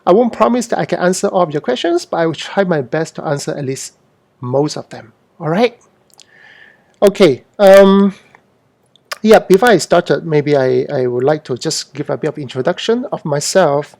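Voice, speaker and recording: Higher-voiced man from Hong Kong, halting speaker. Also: expressive, vocal fry, a little mellow. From born in Hong Kong, moved to Singapore as a teen. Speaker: artist hosting an online Q&A session. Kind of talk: informal unscripted livestream talk.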